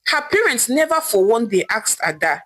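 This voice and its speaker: A woman narrating a scripted folktale in a dramatic, expressive storytelling manner. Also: on the high side, normal speed. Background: background music.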